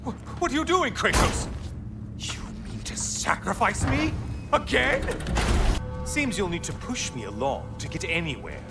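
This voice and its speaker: Wildly swooping, mocking sun god villain. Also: male voice, high voice, very animated, unhurried, gravelly, full character voice. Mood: feigned shock. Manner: sarcastic, taunting, flamboyant. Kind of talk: video game cutscene acting. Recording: loud music behind the voice. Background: loud music.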